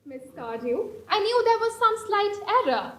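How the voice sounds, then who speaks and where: A woman's voice: very high-pitched, very animated, moderate pace. A young woman playing a haughty, prim Victorian society lady in a stage play, speaking formally and declaiming with indignation. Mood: formal, composed. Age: young adult. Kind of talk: amateur stage play performance. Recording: echoey stage hall recording.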